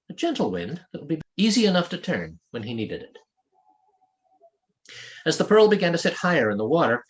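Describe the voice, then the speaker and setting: A man reading his own fantasy novel aloud as scripted audiobook narration. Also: fairly high pitch.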